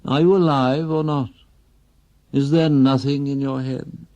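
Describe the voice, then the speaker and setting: A man's voice: mid-range voice, fairly even intonation, a little slow, dark tone, dry recording, highs cut off, voice pushed up, close to an everyday voice. A man voicing a nervous, demanding woman who questions insistently and restlessly in a dramatic poem reading. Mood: anxious, accusing.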